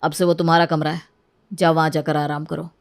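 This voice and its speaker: Tired guilty husband snapping at everyone, woman doing his voice, lowered pitch. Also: flat delivery, a little fast. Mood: flat. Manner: curt, tense and defensive.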